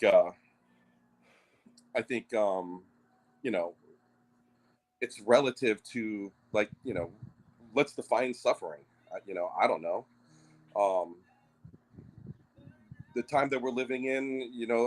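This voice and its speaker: A man's voice: on the low side, rough recording. A Native Hawaiian man talking things through on a podcast, hesitant, with many fillers and restarts. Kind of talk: casual discussion.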